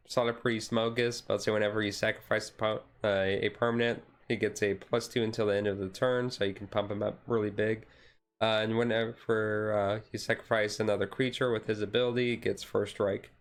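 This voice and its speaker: A man giving casual commentary on a card deck as a streamer, thinking aloud in a halting way. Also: lowish voice, level tone.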